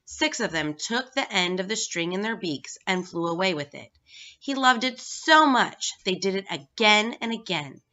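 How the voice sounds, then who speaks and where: A woman's voice: mid-range voice, animated, normal speed, sharp tone. A woman reading a children's story aloud as scripted narration. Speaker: staff reader at a school. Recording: dull, lo-fi recording.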